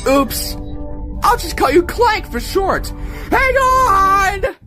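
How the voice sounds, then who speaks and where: A man voicing a brash young alien mechanic with a casual, cocky, excitable manner. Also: extremely high pitch, slow pace, soft background music, exaggerated voice. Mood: friendly, excited.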